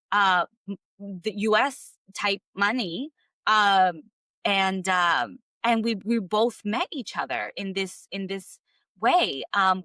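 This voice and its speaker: A woman from the United States who grew up speaking Spanish, a guest telling her career story in a relaxed interview, full of fillers and restarts. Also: mid-range voice, no room sound. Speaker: guest, clarity coach. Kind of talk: conversational interview.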